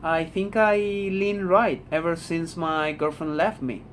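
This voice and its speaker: A man's voice: on the high side, steady tone, takes it slowly, fairly bright tone, a little hiss or hum. Blunt young Argentine man. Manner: blunt, repeats "man", swears freely. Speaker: vlogger. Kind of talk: casual talking-head monologue. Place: home room.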